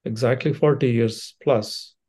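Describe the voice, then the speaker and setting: An older man from India with an Indian accent, a surgeon answering interview questions in a measured way with frequent fillers and restarts. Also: medium pitch, steady tone, dark tone, slightly rough. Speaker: cardiothoracic surgeon, guest.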